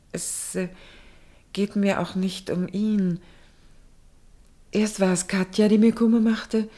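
An actress playing a gentle, anxious middle-aged mother in a German radio drama, pensive and hesitant. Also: fairly low pitch, natural voice.

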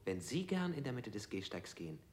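A man's voice: fairly low pitch, sing-song, a little fast, darkish tone. An actor playing a bewildered man in a stylized radio play, answering hesitantly and echoing the questions put to him. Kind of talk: stylized radio drama acting.

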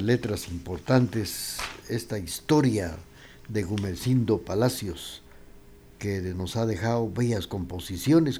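Slow, mellow radio host. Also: male voice, on the low side. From Guatemala. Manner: unhurried, with frequent hesitations and fillers. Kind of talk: radio music program hosting.

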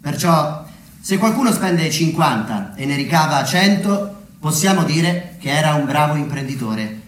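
A man delivering a theatrical narrative monologue, deliberately, with dramatic pauses and emphasis. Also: on the high side, lively intonation. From Umbria, Italy. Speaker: solo theatre storyteller. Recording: roomy echo with background noise.

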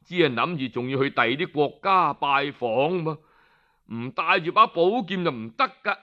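A man telling historical tales in Cantonese in an expressive, colloquial traditional storytelling style. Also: fairly high pitch, moderate pace. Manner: expressive, colloquial, with rhetorical interjections. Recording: dull lo-fi audio.

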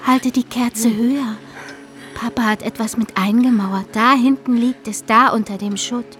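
A woman playing a dead little sister in a radio drama, eerily calm, probing and accusing. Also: on the high side, normal speed, soft background music, natural voice. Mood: eerie curiosity. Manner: probing, taunting, insistent.

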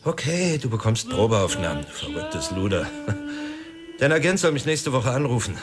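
A man plays a womanizing, sardonic film director in a radio drama. His voice swings widely in pitch and stumbles nervously, with prominent music underneath. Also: very animated, lightly acted. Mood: indignant, wry. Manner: stammering, halting when rattled. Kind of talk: naturalistic German radio drama dialogue.

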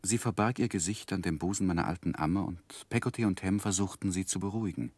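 Wistful storyteller, low pitch, measured reflective delivery, grave, dry studio sound, 1960s radio drama narration. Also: male voice, a little mellow, slightly rough, no room sound. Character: grown David Copperfield recalling his life, grave and wistful.

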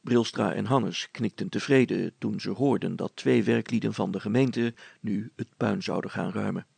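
Guy reading a kids' story, really creaky husky voice, close dry sound. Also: lowish voice, steady tone, normal speed, darkish tone, no echo. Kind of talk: scripted children's story narration.

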